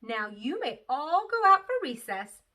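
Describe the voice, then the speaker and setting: A woman's voice: high voice, big pitch swings, a little slow, pushed and bright, pitched above the performer's own voice, exaggerated voice. A woman reading a children's storybook aloud as a bold, chatty little kindergarten girl, emphatic and exclamatory. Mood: bossy, proud. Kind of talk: expressive children's read-aloud.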